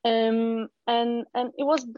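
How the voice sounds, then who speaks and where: A woman's voice: a little high, level tone, slow, no echo, highs cut off. A middle-aged woman from Poland with a Polish accent, a guest talking casually in an interview, often restarting and filling with "um". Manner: hesitant, many restarts and fillers. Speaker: guest, founder of an arts venue for kids.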